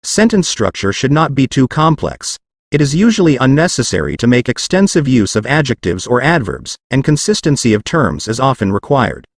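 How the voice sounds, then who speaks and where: A man's voice: medium pitch, sing-song, moderate pace, dry recording, clean studio sound. A man reading practice passages aloud as a test-prep reader.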